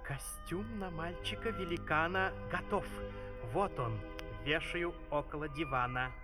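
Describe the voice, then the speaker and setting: An actor playing an eager, obsequious doctor in a Soviet children's comic opera. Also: male voice, a little high, unhurried, lightly acted. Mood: servile. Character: obsequious, eager doctor, echoing his master. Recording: vintage vinyl record, music behind.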